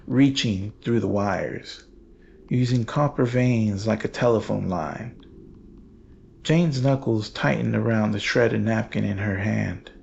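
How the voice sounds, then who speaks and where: A man narrates a horror tale in a creaky, gravelly voice. His delivery is very slow, grave and ominous, and the recording sounds dull with a little noise behind it. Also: lowish voice, a little mellow. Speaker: narrator and archivist host.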